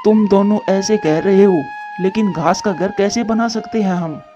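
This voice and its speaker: Man voicing a dark-toned, anxious father pigeon. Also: high voice, moderate pace, natural voice. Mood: concerned. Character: caring, anxious father pigeon, devoted to his child. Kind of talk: Hindi moral-story cartoon dubbing. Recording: dull muffled studio track, music behind.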